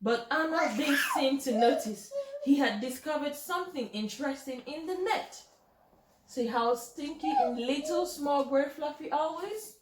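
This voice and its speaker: A woman's voice: sits high, lively intonation, unhurried, a little hiss or hum. A woman reading a children's picture book aloud expressively, addressing young listeners directly as a storytime host. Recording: roomy, echoing home recording.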